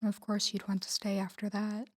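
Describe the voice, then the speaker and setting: A woman playing a guarded, tender mafia boss in an intimate audio roleplay, speaking softly and breathily to a lover in a measured, confiding way. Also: medium pitch, monotone, close to an everyday voice. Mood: soft, reassuring. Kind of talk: intimate scripted audio roleplay addressed to the listener.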